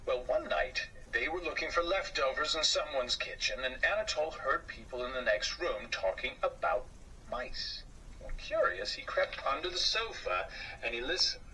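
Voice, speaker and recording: A man reading a children's story aloud as host, with an expressive, theatrical, drawn-out delivery. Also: on the high side. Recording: slightly distant mic, faint noise.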